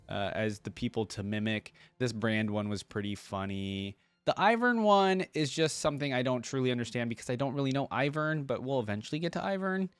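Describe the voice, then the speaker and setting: A man's voice: medium pitch, smooth, no room sound. A man giving casual reaction commentary as host, talking about a game character's voice lines.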